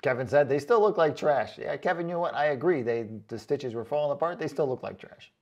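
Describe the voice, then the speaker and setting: A man hosting a casual vlog, reading out comments in a chatty, joking way with sarcastic asides and drawn-out comic emphasis. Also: a little high, animated, muffled recording. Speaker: online boot reviewer and video host.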